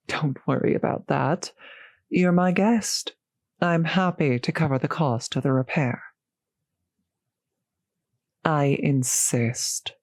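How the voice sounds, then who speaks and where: A centuries-old vampire host, acted by a woman in an intimate roleplay audio drama. The speech is unhurried and genteel, solicitous toward a guest, with polite old-fashioned phrasing. The sound is close and dry. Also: low voice, darkish tone, no room sound. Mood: polite, reassuring.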